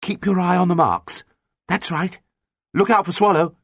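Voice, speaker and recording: A man's voice: high-pitched, fairly even intonation, normal speed, no echo, studio quality, voice pushed up. A man voicing an earnest boy captain giving sailing orders in an audiobook. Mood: encouraging. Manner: commanding, calling out nautical orders. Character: earnest boy sailor captain, brisk and cautious. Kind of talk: audiobook character dialogue.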